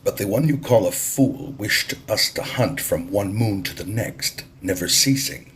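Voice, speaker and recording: Man playing island chief, proud, guarded, terse, firm, measured, lightly husky, audiobook character. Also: fairly low pitch, fairly bright tone, full character voice.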